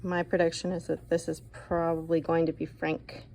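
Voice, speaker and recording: A woman reading a picture book to children in an expressive, guiding way, adding comments and questions as a teacher would. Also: sits low, moderate pace, vocal fry, a little hiss or hum, low-quality recording. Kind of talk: read-aloud for children with commentary asides.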